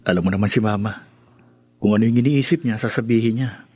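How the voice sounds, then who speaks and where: An actor in a radio drama playing a conciliatory young husband and dutiful son, a little hesitant in manner. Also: male voice, lowish voice, fast, darkish tone, breathy edge, no room sound. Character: placating young husband, dutiful son caught between women.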